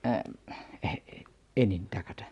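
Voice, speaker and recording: An actor as a mellow, shrewd gold merchant. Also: male voice, slow pace, highs cut off, natural voice. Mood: wheedling, dismissive. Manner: hesitant hemming, calculating, offhand. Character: shrewd, tight-fisted male gold merchant, middle-aged boss. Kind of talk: radio drama acting.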